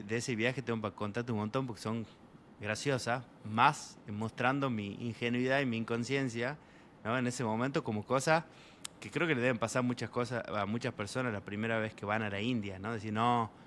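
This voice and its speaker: A young man from Argentina with an Argentine Spanish accent, an interview guest telling travel stories in a relaxed, rambling way full of fillers. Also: lowish voice, slight background noise. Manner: rambling, many fillers, voices quoted people. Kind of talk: casual storytelling in an interview.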